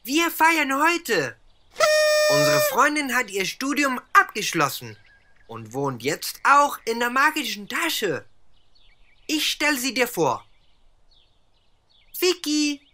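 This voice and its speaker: A festive talking gadget from a children's cartoon, high and bright. It is exclamatory and impatient, with lively swings in pitch and faint noise behind. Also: female voice, slow, long pauses, exaggerated voice.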